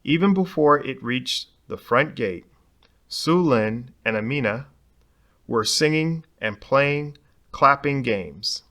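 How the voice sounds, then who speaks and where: A man's voice: medium pitch, lively intonation, slow pace, pushed and bright. A man reading a children's picture book aloud expressively, putting dramatic emphasis on key words. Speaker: storybook reader.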